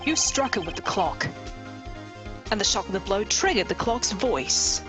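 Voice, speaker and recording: Woman as an earnest young defense attorney, very expressive. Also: mid-range voice, a little slow. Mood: accusing, assertive. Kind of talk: reading visual novel game dialogue aloud. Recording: music behind. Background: game music.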